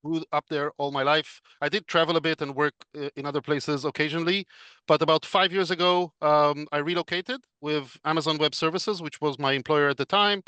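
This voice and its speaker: A man speaks as a podcast guest on a video call. He explains things in a measured way, leaning on fillers. His voice is bright and forward, slightly high, with a dry, close sound. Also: steady tone, clear, resonant tone, no echo. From Israel. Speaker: podcast guest, business consultant. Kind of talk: casual interview chat.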